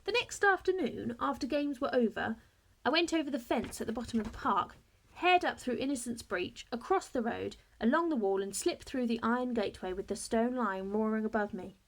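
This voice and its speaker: A woman reading a children's story aloud in expressive narration, like a teacher to a class. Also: fairly high pitch, fairly quick.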